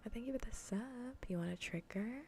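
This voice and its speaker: A woman streaming in a soft, breathy, often whispered ASMR voice, chatting informally and playfully close to the mic. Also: mid-range voice, fairly quick, slight background noise. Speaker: streamer hosting a live cozy gaming broadcast. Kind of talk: soft-spoken ASMR casual chat. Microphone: close ASMR microphone.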